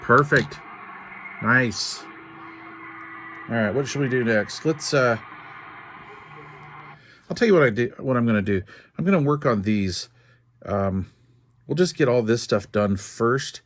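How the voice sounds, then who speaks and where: An older American man casually narrating a hands-on repair in a folksy, unhurried way, thinking aloud as he goes. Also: mid-range voice, a bit bright. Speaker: radio repair video host.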